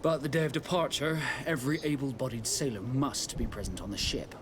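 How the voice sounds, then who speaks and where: A male actor voices a middle-aged ship's captain for a video game. He is formal and stern, choosing measured words with authority. Clear background noise sits behind the voice. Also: mid-range voice, steady tone, natural voice. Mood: grave, concerned. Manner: formal, measured, commanding phrasing. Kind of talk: video game dialogue acting.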